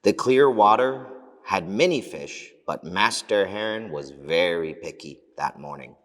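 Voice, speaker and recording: A man reading scripted fables as a storybook narrator, expressive and deliberate. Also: deep voice. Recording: long hall reverb.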